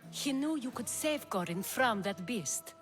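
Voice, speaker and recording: A woman's voice: a little high, lively intonation, measured pace, music in the background. An actress playing a proud, commanding female leader in a video game, with a poised, dramatic delivery. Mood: composed. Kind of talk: video game cutscene acting.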